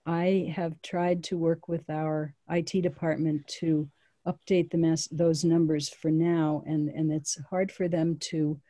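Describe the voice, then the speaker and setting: A town planning official speaks on a video call at a public meeting. Her voice is very dark and mellow, and the sound is dry and close. She talks in a measured way, pausing often with ums and small restarts. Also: sits low, little pitch movement, no echo.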